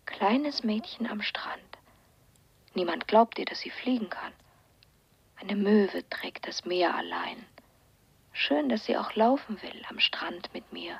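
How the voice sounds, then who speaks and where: An actress playing a dreamy, whimsical girlfriend with a husky, breathy voice in a German radio crime drama. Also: mid-range voice, takes it slowly, whispered, dull audio, exaggerated voice. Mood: dreamy, whispering.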